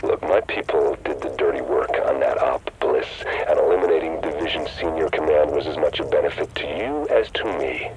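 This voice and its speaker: A calculating rogue leader talks in a very deep, even voice. He is calm, persuasive and matter-of-fact. Noisy game ambience sits beneath the dialogue. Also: fairly quick, few pauses. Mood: cool, persuasive. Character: scheming male rogue leader, cool and calculating. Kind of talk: video game cutscene dialogue.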